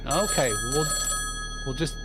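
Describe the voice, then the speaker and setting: A man playing a jumpy, squeamish working-class morgue worker in an old-time radio drama, halting and repeating himself. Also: on the high side, background noise, close to an everyday voice. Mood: jittery. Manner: halting, repetitive, colloquial.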